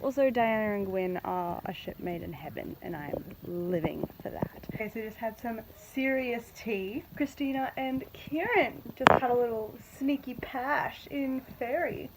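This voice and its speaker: A woman's voice: on the high side, lively intonation, normal speed, hardly any pauses. A woman giving a casual book reaction in gushing, slangy fan talk with sarcastic asides. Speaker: book reviewer vlogger. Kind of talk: casual reaction commentary, retelling a novel. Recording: noisy, glitchy amateur phone audio.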